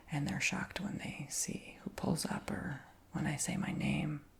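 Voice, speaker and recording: Whispering man with a light, high voice. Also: steady tone, takes it slowly, gravelly, a bit bright, breathy edge. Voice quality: whispering throughout. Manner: rambling, chatty, frequent ums and likes. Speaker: ASMR creator. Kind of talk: whispered ASMR storytime chat.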